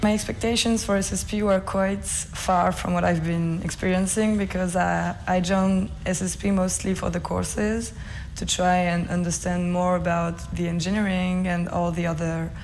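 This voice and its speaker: A woman from France, a PhD student giving a thoughtful video testimonial with pauses. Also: lowish voice, flat delivery, darkish tone. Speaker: program participant, PhD student. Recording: voice over background music.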